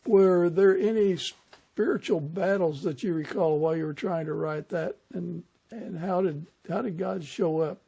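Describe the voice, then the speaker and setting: A man's voice: high-pitched, measured pace, vocal fry, mellow, slightly rough. A man hosting a podcast, asking questions and chatting in a conversational interview style.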